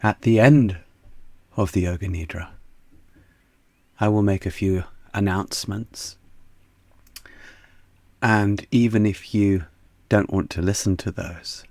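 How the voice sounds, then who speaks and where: Slow, gravelly-voiced man guiding a meditation. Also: lowish voice, fairly even intonation, dry recording. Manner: soft, soothing, unhurried guidance. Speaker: meditation guide. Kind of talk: guided yoga nidra meditation.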